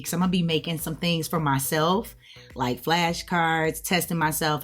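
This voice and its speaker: Low-voiced American woman vlogger. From United States. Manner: conversational, checks in with okay, right, all right. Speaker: language learner hosting a video channel. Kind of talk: casual explanatory vlog talk to viewers.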